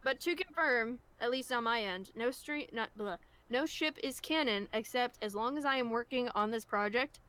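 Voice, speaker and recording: A woman's voice: fairly high pitch, moderate pace, hardly any pauses, fairly bright tone, smooth. A woman chatting casually on a group livestream, with a blunt, jokey way of talking. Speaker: co-creator on a web series discussion stream.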